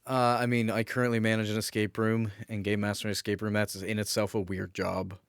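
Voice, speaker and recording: Low-voiced man chatting casually, dry close sound. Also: little pitch movement, brisk, clear, resonant tone, no echo. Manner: informal, mildly profane. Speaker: podcast co-host. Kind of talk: casual chat, swapping work stories.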